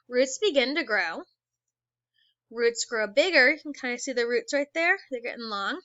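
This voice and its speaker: A woman teacher reading a children's book aloud. She reads slowly and stops to ask the class questions. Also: high voice, pushed and bright, no room sound, dull audio. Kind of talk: read-aloud lesson for children.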